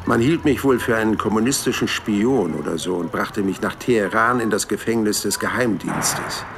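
A man's voice: lowish voice, gravelly. An actor playing an unflappable hundred-year-old man in a radio drama, recounting adventures in a dry, understated, politely formal way. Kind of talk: radio drama narration and dialogue.

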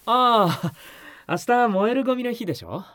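A woman voicing a polite, mild-mannered young male neighbour in an audio drama, speaking softly and conversationally to the listener. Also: a little high, lively intonation, moderate pace, dry recording, clean studio sound. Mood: friendly, chatty. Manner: polite, soft-spoken, conversational. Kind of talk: Japanese audio drama acting, spoken to the listener.

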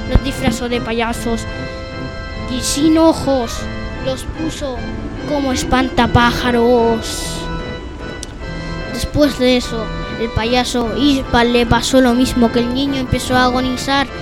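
A high female voice tells an improvised horror story at a slow pace. The delivery is halting, with phrases repeated and corrected along the way. Loud music plays under the narration. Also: level tone, rough recording. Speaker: student storyteller in a radio theatre workshop.

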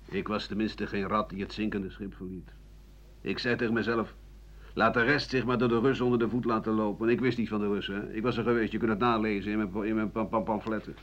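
An actor playing a bitter old French doctor-writer in a radio play, rambling through a sardonic, coarse, self-justifying monologue in a husky voice. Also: male voice, fairly low pitch, fairly even intonation, quick pace, a bit bright, close to an everyday voice. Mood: defensive, indignant. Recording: dull lo-fi 1980 radio recording.

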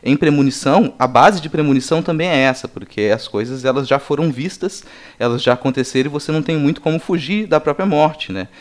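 A man's voice: fairly high pitch, fairly quick. A Brazilian man hosting a film discussion, explaining his points in an orderly, conversational way and restating them to clarify. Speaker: podcast host.

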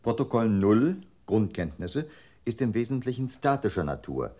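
A man's voice: lowish voice, big pitch swings, moderate pace, dark tone. A man playing an impersonal, methodical computer memory bank in a radio play, reciting data in a clipped, matter-of-fact way. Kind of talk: scripted 1960s radio play.

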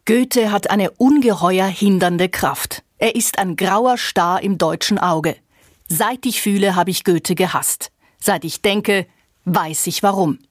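A woman recites literary quotations at a very slow, measured pace with clear music behind. Her voice sits at medium pitch and sounds scornful. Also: no echo, clean studio sound.

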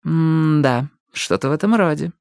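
A woman's voice: deep voice, a little slow, gravelly, a little mellow, no room sound, studio quality, voice pushed up. A woman voicing a self-assured young man in an audiobook, nonchalant and slyly condescending. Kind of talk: audiobook character voice.

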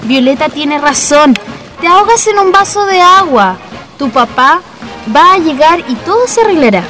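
Cheeky, supportive Chilean girlfriend role, radio drama, very high pitch, music bed. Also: expressive, normal speed. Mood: reassuring. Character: reassuring, cheeky young Chilean girl, loyal friend. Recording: music under the voice.

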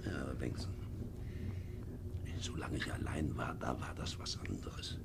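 An actor playing a shady, callous middle-aged sea captain in a 1950s radio drama, halting and often muttering. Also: male voice, rumbling low, gravelly, in a whisper, exaggerated voice. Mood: brooding, resolute. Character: shady, brooding middle-aged male ship's captain, callous and self-justifying. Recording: hissy 1950s radio recording.

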